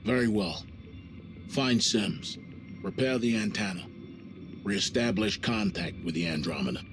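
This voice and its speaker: A man's voice: fairly low pitch, unhurried, close to an everyday voice. A man playing a stern, decisive spaceship commander in a sci-fi horror game, with a clipped, authoritative delivery. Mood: firm, commanding. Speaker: voice actor in a video game. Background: music.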